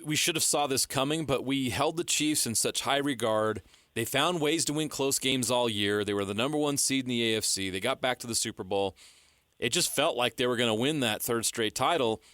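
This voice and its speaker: Male sports radio host, medium pitch, conversational interviewer, tight dry studio recording. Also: pushed and bright, dry recording. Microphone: close studio microphone.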